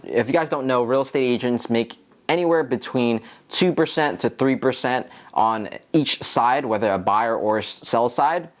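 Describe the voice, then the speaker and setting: A man with an American accent talking casually to viewers as a tutorial host, in an earnest, encouraging, explanatory way. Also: mid-range voice. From Philadelphia, United States. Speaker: real estate agent and video host.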